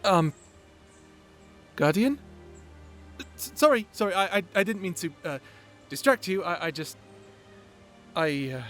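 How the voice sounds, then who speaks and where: A man acts out a bashful young romantic hero with a fairly high voice. He speaks slowly, with halting stammers and flustered hesitation, in a fantasy audio drama over background music. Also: expressive, fairly bright tone. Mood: flustered, apologetic. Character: shy, flustered young man confessing love to his guardian. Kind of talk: dramatic audio roleplay acting.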